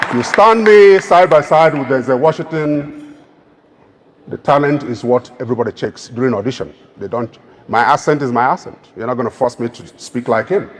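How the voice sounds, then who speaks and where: A man's voice: medium pitch, moderate pace, some chatter behind. Emphatic Igbo-accented man in an echoing hall. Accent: Nigerian, Igbo. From Nigeria. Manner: emphatic, oratorical, rousing. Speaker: keynote speaker. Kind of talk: public speech. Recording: long reverb of a large hall.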